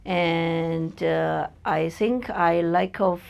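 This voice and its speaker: A woman's voice: on the low side, fairly even intonation, very slow, creaky, a little mellow. A woman with a Chinese accent, a native Chinese speaker, talking in a personal interview as a podcast guest. She is hesitant and searches for words, with many fillers. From China.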